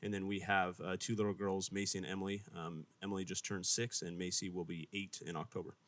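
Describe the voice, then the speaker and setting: Man in his 30s, church staffer, relaxed, low voice, dry sound. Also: quick pace, no room sound. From Las Vegas, Nevada. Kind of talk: casual interview answers.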